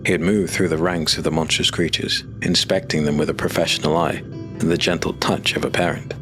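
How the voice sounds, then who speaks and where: A man narrating a dark fantasy audio drama in a measured, steady storytelling voice. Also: rumbling low. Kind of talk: scripted narration. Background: music.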